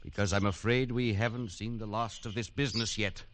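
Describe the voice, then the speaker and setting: An actor playing a level-headed male companion in an old radio drama, brisk and reassuring. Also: lowish voice, steady tone, over loud music, natural voice. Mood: wary, blunt. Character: steady, gruff adult male companion, dry-humored. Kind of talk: 1940s radio drama acting. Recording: noisy vintage radio broadcast.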